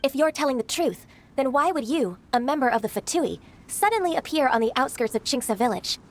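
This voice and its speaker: A solemn, earnest young official in a video game. Her voice is high, and she speaks formally and courteously in measured phrases. There is faint noise in the background. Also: expressive. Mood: stern, composed.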